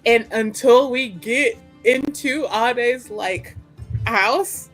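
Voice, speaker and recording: A woman's voice: fairly high pitch, extremely slow, soft background music, rough recording. A woman playing a tabletop game, chatting casually and describing what her character does. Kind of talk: casual roleplay chat and narration.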